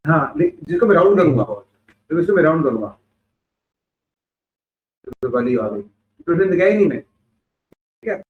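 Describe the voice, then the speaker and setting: A man's voice: fairly high pitch, very animated, measured pace, long pauses. Man lecturing from far off in an echoey classroom. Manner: explains step by step with rhetorical questions. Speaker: instructor. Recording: echoey room, far from a phone-like mic.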